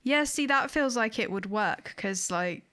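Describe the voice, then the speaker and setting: A woman's voice: a little high, animated, unhurried, fairly bright tone, smooth. A woman talking casually as the co-host of a podcast about games and films.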